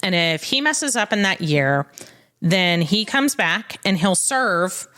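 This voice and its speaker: A woman's voice: lowish voice, expressive, slow, fairly bright tone. An American woman talking casually as a co-host and legal expert, explaining matter-of-factly step by step with examples.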